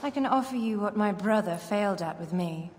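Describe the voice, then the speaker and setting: A woman's voice: mid-range voice, expressive, fairly quick, long reverb tail, close to an everyday voice. Woman as a cool ambitious queen, poised, faint noise behind.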